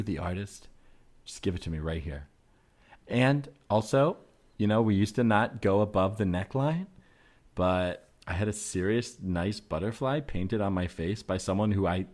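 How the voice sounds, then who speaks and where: An eager, oversharing woman customer played by a man in a low voice. The delivery is halting and rambling, full of fillers, with an earnest tone in an improvised comedy sketch. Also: a little mellow.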